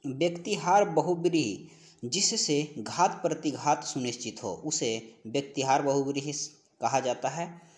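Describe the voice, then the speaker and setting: A male teacher with a somewhat high voice delivers an instructional lecture. He works through practice questions in an explanatory way, restating key phrases and answers for his students. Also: darkish tone. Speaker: teacher of exam-prep grammar class.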